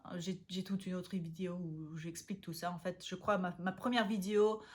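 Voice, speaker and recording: A young woman from Vienna whose first language is Austrian German, chatting casually in a vlog, halting and full of fillers, with frequent self-amused laughs and stray English words. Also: fairly low pitch, fairly even intonation. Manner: laughs often at herself and slips into English words. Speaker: language vlogger.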